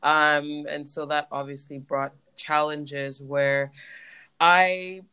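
A woman's voice: deep voice, flat delivery, slow pace, sharp tone, clean tone. A woman, co-hosting a podcast, telling a personal story in a reflective way with lots of fillers. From Canada. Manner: reflective, uses many fillers like um and like. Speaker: podcast co-host. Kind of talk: personal storytelling in casual chat.

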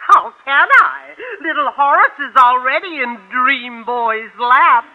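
Very high-pitched cheeky old granny. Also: female voice, takes it slowly, phone-quality sound. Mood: cooing, fussy. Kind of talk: 1950s radio sitcom acting. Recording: noisy old radio broadcast, studio audience.

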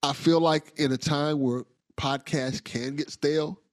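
A man's voice: a little high, fairly even intonation, unhurried, no room sound, studio quality. A man hosting a podcast, talking in a casual, rambling, enthusiastic way with slang. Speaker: podcast host. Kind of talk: casual interview chat.

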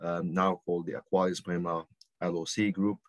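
Man, from Algeria, very low, monotone, halting, podcast guest. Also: dry recording, clean studio sound. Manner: halting, many ums and restarts. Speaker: guest consultant. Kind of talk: podcast interview answers.

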